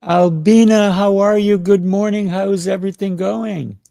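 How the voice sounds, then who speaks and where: A man's voice: high-pitched, steady tone, a little slow, gravelly, dark tone, no room sound. A man hosting a podcast interview, affirming and encouraging his guest. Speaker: podcast host.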